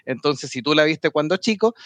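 A man chatting on an anime podcast, his voice sitting a bit high for a man. He rambles, laughs readily and restarts his sentences, recorded close with no room sound. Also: expressive, moderate pace, dry recording. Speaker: podcast co-host. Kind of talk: casual anime talk with co-hosts.

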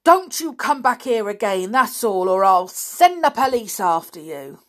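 A woman's voice: medium pitch, big pitch swings, unhurried, pitched above the performer's own voice. A woman voicing a deaf, bad-tempered old man who bellows and threatens, in a storybook reading. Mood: grumbling.

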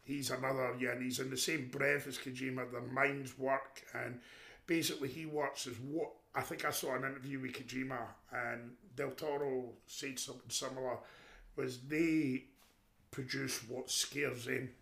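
A man with a deep voice telling stories in a rambling, animated way during a casual chat. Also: normal speed, gravelly. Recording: echoey room.

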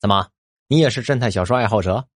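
Earnest middle-aged detective husband, low husky voice acted by a man. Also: fast talker, dry recording, studio quality, close to an everyday voice. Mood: eager. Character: Japanese police detective husband, avid mystery-novel fan, earnest. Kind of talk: multi-cast audio drama acting.

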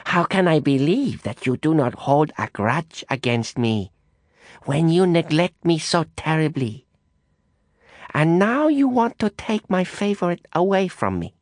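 The voice is a man doing a rasping, smoke-worn old madame who drawls her reproaches slowly and possessively. It sits high and swoops widely, close and dry to the mic. This is an audiobook reading. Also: sing-song, no echo. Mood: reproachful. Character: imperious older woman, chain-smoker, jealous and spiteful.

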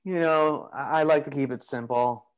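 Relaxed man rambling with easy fillers. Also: medium pitch, moderate pace. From Greek heritage. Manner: relaxed, rambling, many "you know" and "like" fillers. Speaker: podcast guest, bodywork practitioner. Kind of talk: casual conversational interview.

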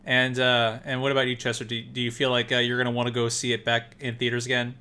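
A man with a bright, forceful voice hosts a casual chat. He asks questions with plenty of uhs and restarts. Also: mid-range voice, completely flat delivery, fast talker, clean tone. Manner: hesitant, asks questions with fillers. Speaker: podcast host.

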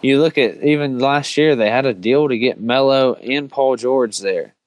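A man's voice: medium pitch, normal speed, no echo. A man on a sports talk panel giving earnest, opinionated takes in casual discussion.

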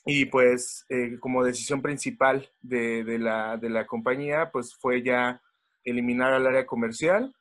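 A man from Mexico City with a Mexican accent, a podcast guest who talks haltingly over a video call. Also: mid-range voice, fairly even intonation, fairly bright tone. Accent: Mexican Spanish. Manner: halting, many fillers and restarts. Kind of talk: casual chat.

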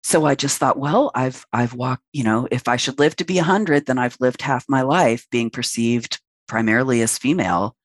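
A man's voice: medium pitch, moderate pace, hardly any pauses, no room sound. Frank, reflective man in his late forties. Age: late 40s. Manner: reflective and frank, talks at length with fillers. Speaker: podcast guest. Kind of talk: candid interview conversation.

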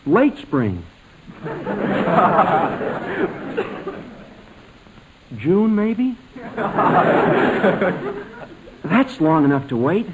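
Nervous polite young man, kinda high voice, old radio comedy style, hesitant. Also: huge pitch swings, extremely slow, long pauses, heavy background noise, full character voice. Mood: earnest, nervous. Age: young adult. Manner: deferential, hesitant. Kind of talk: 1940s radio comedy acting.

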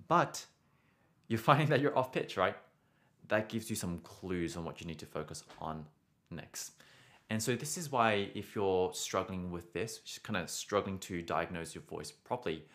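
A man's voice: sits low, a bit bright. A young man teaching singing on a live stream, answering viewer questions casually and often adding "right?". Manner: casual, encouraging, frequent "right?" tags.

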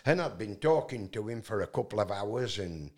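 A loud-voiced man from England spins a meandering anecdote as a guest. His voice sits a little low for a man. He restarts often and fills his pauses with fillers. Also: lively intonation. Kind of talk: rambling anecdotal storytelling.

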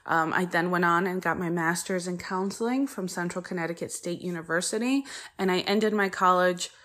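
Woman host, earnest, rambling solo intro, low-ish voice. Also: normal speed, fairly bright tone. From United States. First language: Polish. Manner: earnest, chatty, frequent ums and side-tracks. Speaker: podcast host.

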